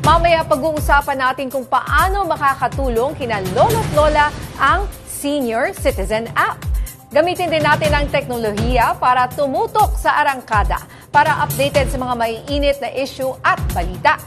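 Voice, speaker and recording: A Filipino woman presents as a news anchor. Her voice is high, and she delivers a scripted opening. Music plays clearly underneath. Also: expressive, moderate pace.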